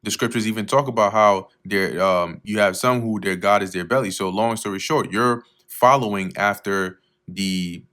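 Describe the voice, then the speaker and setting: A man teaching verse by verse through scripture, reading each verse slowly and then explaining it in a casual way with fillers, often clearing his throat. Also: on the low side, fairly bright tone. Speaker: Bible teacher.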